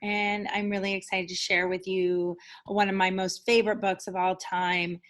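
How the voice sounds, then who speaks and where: A woman reading a children's picture book aloud as a teacher, with enthusiastic, animated delivery and dramatic emphasis. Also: mid-range voice, normal speed. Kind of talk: expressive children's story reading.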